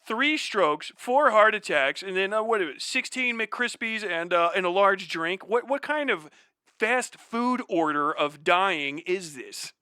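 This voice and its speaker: A man's voice: high-pitched, expressive, a little slow, sharp tone, clean tone, dry recording, clean studio sound. A man hosting a livestream, giving sarcastic, exasperated and profane mocking commentary. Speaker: livestream host.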